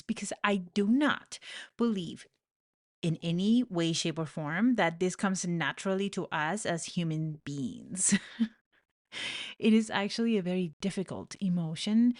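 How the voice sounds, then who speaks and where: A woman's voice: fairly low pitch, no room sound. Earnest Venezuelan-born woman, dry close sound. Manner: earnest, heartfelt, with soft laughs. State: pregnant. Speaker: podcast host, life coach. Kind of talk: personal reflective podcast talk.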